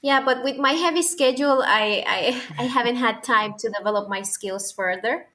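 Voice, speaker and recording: A young woman from Puerto Rico, a native Spanish speaker with a thick Puerto Rican accent, chatting as an interview guest and pausing often to search for words. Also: on the high side, fairly bright tone. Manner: hesitant, searching for words, frequent fillers. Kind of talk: casual interview conversation.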